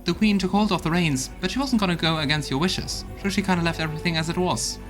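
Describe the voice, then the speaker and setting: A man's voice: high voice, fast talker, over music, lightly acted. A man voicing a loyal, weary female army officer in a video game, talking in an earnest, folksy way. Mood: matter-of-fact. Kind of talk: voiced video game dialogue.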